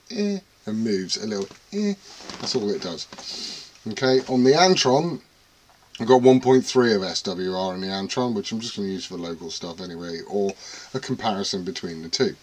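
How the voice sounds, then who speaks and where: A man's voice: fairly low pitch, slight background noise. A British man vlogging casually from his radio shack, rambling, swearing freely and poking fun at himself. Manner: sweary, self-deprecating, rambling. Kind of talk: casual rambling chat to camera.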